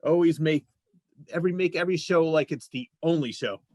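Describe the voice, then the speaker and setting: Male host, slightly high-pitched, easygoing conversational interviewer, encouraging, recorded dry. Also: a little fast, darkish tone, no echo.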